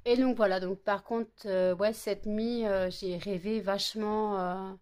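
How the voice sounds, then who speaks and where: A woman's voice: medium pitch, fairly even intonation, unhurried, darkish tone. Rambling tired woman in her thirties. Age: 30s. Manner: rambling, many fillers and self-corrections. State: has a cold, tired. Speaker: vlogger. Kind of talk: casual vlog chat.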